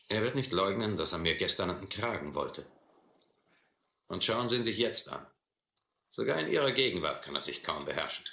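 Brutal, clever ship's captain: very low, forceful, sneering voice for a roomy radio play. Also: male voice, gravelly, full character voice. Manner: sardonic, condescending, commanding. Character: domineering sea captain, mocking, brutal, intellectual bully. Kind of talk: German audio adventure drama acting. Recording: roomy old radio-play recording, faint noise.

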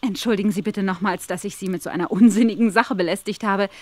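A woman's voice: mid-range voice, steady tone, fast, no room sound, lightly acted. An actress playing an uneasy, earnest and polite young woman in a German radio play. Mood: polite, apologetic. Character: anxious, polite young woman seeking a position.

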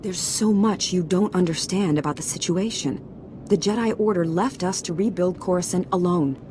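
Resolute female senator actress, game cutscene: lower register, formal, measured, firm. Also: close to an everyday voice. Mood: earnest, defiant. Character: proud, resolute middle-aged female senator. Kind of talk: video game cutscene acting. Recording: game cutscene with clear ambient noise.

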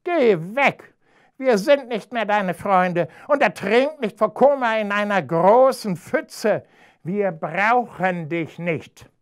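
A storyteller voicing a spiteful, scheming female cat in a children's storybook, with a sneering, drawn-out delivery. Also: mid-range voice, big pitch swings, fairly bright tone, voice pushed up. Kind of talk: storybook character voice.

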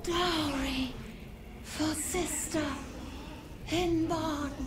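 A halting ghostly dead farmer. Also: female voice, high voice, fairly even intonation, background noise. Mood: eerie. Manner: halting, broken words, echoing whisper. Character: dead woman's spirit speaking through corpse. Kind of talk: video game voice acting.

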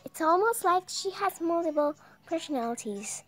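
A young woman reading visual novel text aloud in a halting way, stumbling over words. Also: very high voice, expressive. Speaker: gameplay video creator reading aloud. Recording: music behind the voice.

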